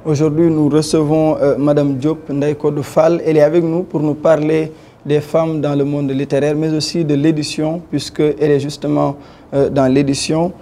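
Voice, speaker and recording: A man's voice: on the high side, level tone, moderate pace, warm tone, a little hiss or hum. A man hosting a formal TV interview, polite and hesitant, with many fillers.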